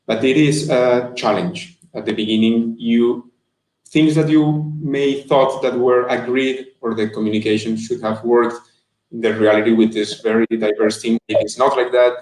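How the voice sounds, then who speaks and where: A man answers interview questions over a video call. His voice sits in a middle pitch and he speaks earnestly, often restarting a phrase. The sound is roomy, with an echo from the room around him. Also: steady tone, moderate pace, a little mellow. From Colombia. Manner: earnest and thoughtful, with frequent restarts. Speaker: guest, marketing manager. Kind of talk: interview answers on a live stream. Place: room indoors.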